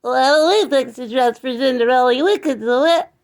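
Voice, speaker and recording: A man's voice: very high-pitched, normal speed, clean tone, voice pushed up. A man voicing an eager, helpful little mouse in a children's storybook.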